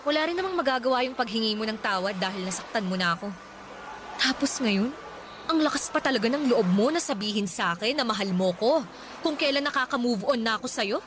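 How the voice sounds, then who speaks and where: An actress playing a hurt, guarded young working woman who answers in sharp, challenging retorts in a radio drama. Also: fairly high pitch, expressive, a little fast, background noise, close to an everyday voice. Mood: hurt, angry.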